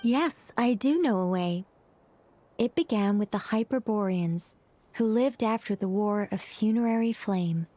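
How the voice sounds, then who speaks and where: A woman playing a gentle female angel in an anime game, polite and formal but easily embarrassed. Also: mid-range voice, unhurried, no echo, lightly acted. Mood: earnest, composed.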